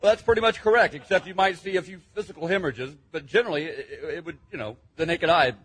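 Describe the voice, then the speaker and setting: A man playing a hesitant male forensic pathologist who agrees in halting, stammering phrases as a news-show guest. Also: high voice, slightly rough.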